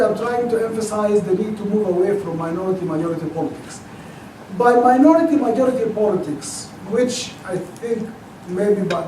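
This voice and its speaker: A man from Sudan with a Sudanese accent, giving an academic lecture in a thoughtful, halting way full of restarts. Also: sits high, mellow, a little hiss or hum. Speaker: guest lecturer. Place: lecture auditorium. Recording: echoey auditorium, mic at a distance.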